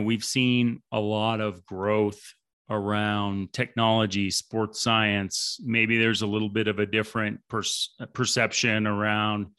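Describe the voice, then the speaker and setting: A man's voice: lowish voice, fairly bright tone, clean tone, no echo. A man hosting an interview, asking long, rambling questions full of fillers. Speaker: podcast interviewer.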